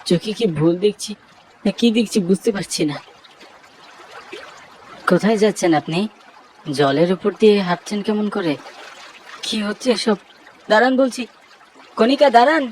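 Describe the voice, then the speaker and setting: A man's voice: high voice, moderate pace, pauses often, a little mellow, slight background noise, close to an everyday voice, poor audio. A man voicing a frightened young man in a horror cartoon, telling his tale in a dramatic, bewildered way. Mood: alarmed. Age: young adult. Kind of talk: Bengali horror cartoon narration.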